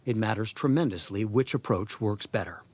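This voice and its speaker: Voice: medium pitch, moderate pace, a little mellow. A reporter delivering scripted news narration.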